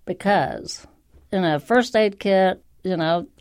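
A woman's voice: medium pitch, slow, a little mellow, smooth, no room sound. Interview clip: self-assured woman entrepreneur, everyday mid voice, dry close recording. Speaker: inventor and small business owner. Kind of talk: interview soundbites.

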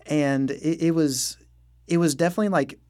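A man's voice: fairly high pitch, steady tone, normal speed, darkish tone. A young man, a musician, talking candidly in an interview, self-deprecating and breaking into light chuckles. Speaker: musician guest. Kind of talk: casual interview talk.

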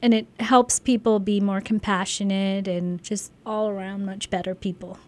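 A woman's voice: medium pitch, level tone, normal speed. Earnest middle-aged woman guest. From Sri Lanka. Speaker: physician and volunteer guest. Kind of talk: studio interview answers.

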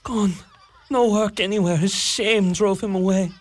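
Woman playing a desperate, breathy young mother. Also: mid-range voice, steady tone, darkish tone, natural voice. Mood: ashamed. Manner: pleading, halting. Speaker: community radio play actor. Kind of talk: community radio play acting.

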